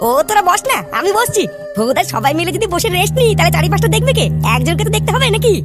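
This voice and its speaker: A man voicing an excitable, whiny cartoon boy who scares easily, in a slangy, fretful manner with a pitched-up squeaky voice. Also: rapid-fire, loud music behind the voice. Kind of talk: comic cartoon dub.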